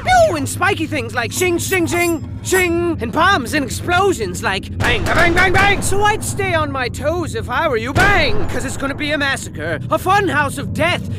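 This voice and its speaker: A man's voice: very high voice, noisy background. A man voicing a playful, sarcastic storybook narrator for a comedic video game, veering between grand theatrical declaiming and muttered, rambling asides. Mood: excited, alarmed. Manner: swings from grand declaiming to muttered asides and silly sound effects.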